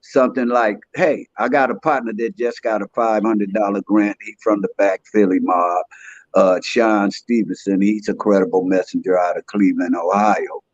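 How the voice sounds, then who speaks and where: A man's voice: fairly low pitch, fairly even intonation, normal speed. A man in his sixties, a podcast guest speaking in an earnest, streetwise, plain-spoken way in casual conversation. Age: 60s. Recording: dry, dull lo-fi sound.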